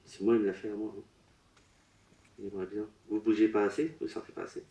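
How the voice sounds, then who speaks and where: A man working as a medium at a public séance, relaying messages in short, broken, halting phrases. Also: lowish voice, flat delivery, mellow. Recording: roomy echo.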